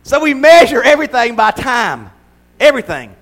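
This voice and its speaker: A man's voice: very high-pitched, very animated, moderate pace, fairly bright tone. An older man preaching a conversational sermon as pastor, mixing humor with emphatic, building repetition. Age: 60s.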